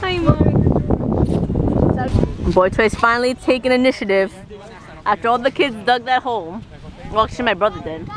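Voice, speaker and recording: Young woman, family vlogger with a high and lively voice. Excitable, laughing often, recorded on a handheld camera with loud surf behind her. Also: moderate pace, long pauses. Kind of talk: casual family vlog. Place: outdoors on an ocean beach. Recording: loud surf and wind noise.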